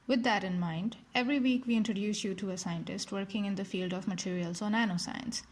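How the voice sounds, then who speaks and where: A woman hosting a podcast, reading its scripted introduction in a clear, even way. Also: mid-range voice. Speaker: podcast host.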